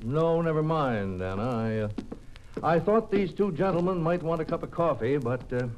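Actor as a lively, high-voiced evasive swindler. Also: male voice, moderate pace, a little hiss or hum, lightly acted. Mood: uneasy, guarded. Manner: glib, hesitant when pressed. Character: evasive, falsely genial male oil swindler. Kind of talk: 1940s radio crime drama acting. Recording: dull old radio broadcast.

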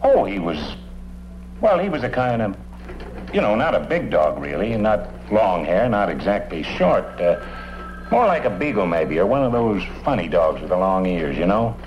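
An actor playing a talkative, plain-spoken police detective sidekick in an old-time radio drama. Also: male voice, lowish voice, very animated, moderate pace. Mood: rueful, nostalgic. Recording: dull, hissy old radio recording.

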